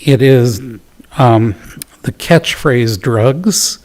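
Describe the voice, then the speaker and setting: A man presenting at a public town council meeting, talking in a measured, earnest way with fillers and pauses. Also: mid-range voice, a little mellow. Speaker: youth program presenter.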